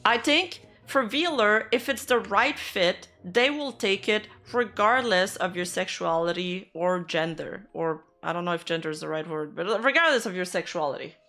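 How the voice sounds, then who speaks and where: A woman's voice: fairly low pitch, normal speed, few pauses, sharp tone, faint music behind. A woman VTuber streamer giving casual reaction commentary, blunt and sarcastic, swearing freely.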